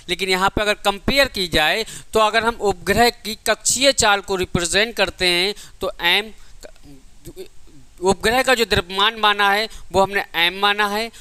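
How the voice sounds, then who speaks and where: A man's voice: sits high, sharp tone, clean tone, dry recording. A man giving a physics coaching lecture, repeating key points and asking questions he answers himself. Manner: addresses students affectionately as child. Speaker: physics teacher. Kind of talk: exam coaching lecture, working through derivations.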